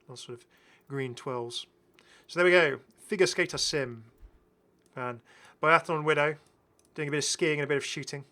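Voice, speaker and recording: A man's voice: on the high side, normal speed, sharp tone. A middle-aged man giving enthusiastic, casual, chatty commentary as a gaming video host, often repeating his phrases. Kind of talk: casual game skin showcase commentary.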